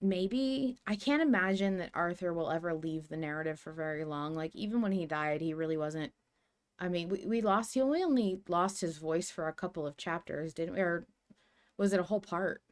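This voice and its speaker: Low-voiced woman thinking out loud. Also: moderate pace. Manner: thoughtful, tentative, thinking aloud. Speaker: podcast co-host. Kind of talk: casual fan discussion.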